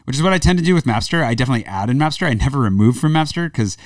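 A man's voice: mid-range voice, lively intonation, rapid-fire, no echo, studio quality, far from the mic. A man hosting a podcast, chatting casually across a table while tasting cheese, rambling with lots of fillers and restarts. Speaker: podcast host. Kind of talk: casual chat.

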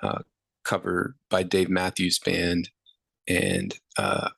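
Deep gravelly-voiced male musician. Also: slow, no room sound. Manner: relaxed, rambling, many fillers. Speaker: musician guest. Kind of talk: casual interview answers.

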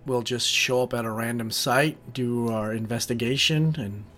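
A man's voice: medium pitch, moderate pace, a bit bright. A young man with a mid-range voice talks casually as a tabletop player. He describes his character in plain interview answers, with music faintly behind him. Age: young adult. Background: background music.

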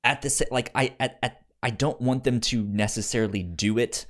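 A man co-hosting a podcast, chatting casually about books in a halting way, often stammering and repeating words. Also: medium pitch, fairly bright tone. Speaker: podcast co-host. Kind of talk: casual book discussion.

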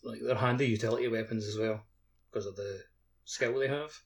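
A man's voice: on the low side, steady tone, a little fast, husky. A man co-hosting a podcast, chatting informally about video games with loose phrasing. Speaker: podcast co-host. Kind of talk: casual chat about a video game.

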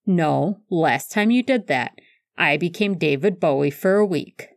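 A woman's voice: low voice, expressive, a little slow, no echo. A woman voicing an anxious, self-deprecating man with a dry, grumbling manner in an audiobook. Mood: wry reluctance. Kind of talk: audiobook fan fiction character dialogue.

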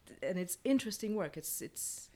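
A woman's voice: mid-range voice, expressive, brisk, darkish tone. A woman speaking as a playwright in a casual panel discussion, frank in her opinions and often restarting her phrases.

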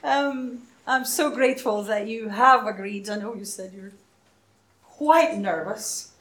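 A woman minister leading a church service, speaking softly and unhurriedly, with frequent hesitations, sometimes dropping to a near whisper. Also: fairly high pitch. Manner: soft, unhurried, hesitant with fillers. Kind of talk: leading worship and interviewing a church member.

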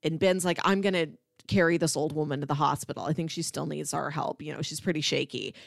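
A woman's voice: low voice, quick pace, vocal fry, no echo, studio quality. A woman co-hosting a podcast, chatting casually as she reviews and retells a story. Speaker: podcast co-host.

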